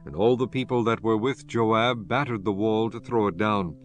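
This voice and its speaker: Low-voiced solemn man narrating scripture. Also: moderate pace, faint music behind.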